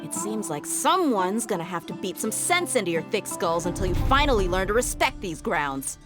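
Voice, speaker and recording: An actress playing a tough, brash mercenary guardian in a video game, blunt, mocking and assertive. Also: female voice, mid-range voice, very animated, normal speed, lightly acted. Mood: scolding. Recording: studio voice under prominent music.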